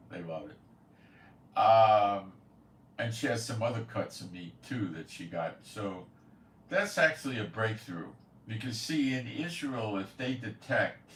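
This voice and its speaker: A man hosting a prophecy commentary channel, talking in a casual, rambling monologue with a blunt, scoffing, opinionated manner. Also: deep voice. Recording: echoey room, far from the mic, faint noise.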